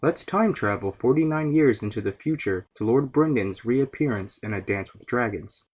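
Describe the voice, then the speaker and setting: Measured man narrating a scripted lore essay. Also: mid-range voice, lively intonation, a little mellow, slight background noise. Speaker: host of a fan lore video series.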